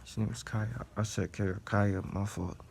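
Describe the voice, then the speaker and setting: A man with a lowish, gravelly voice reacts to a clip. He makes laid-back, slangy, half-mumbled remarks in a casual tone. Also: fairly even intonation, moderate pace, darkish tone, slight background noise. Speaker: reaction video host.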